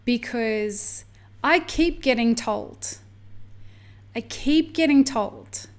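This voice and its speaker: A woman's voice: a little high, drawn-out words. An Australian woman hosting a podcast, talking candidly and reflectively on her own, rambling a little and swearing now and then. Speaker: podcast host. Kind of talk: solo podcast monologue.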